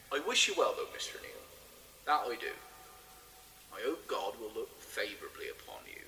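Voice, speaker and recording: A man's voice: on the low side, sing-song, normal speed, bright, forceful tone, exaggerated voice. An actor playing a superstitious, God-fearing village man who speaks in a plain, rustic, admonishing way in an audio drama. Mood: grave resignation. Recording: echoey reverberant church, faint noise.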